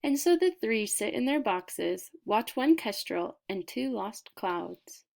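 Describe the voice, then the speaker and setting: A woman reading a children's picture book aloud expressively, deliberate in her delivery, with dramatic pauses and emphasis. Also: a little high, lively intonation, creaky, a little mellow.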